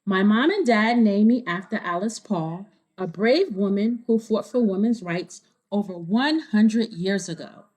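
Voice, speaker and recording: A woman reads a children's story aloud at an unhurried pace, with a very animated, swooping pitch. She stops to explain things simply and checks in with her young listeners.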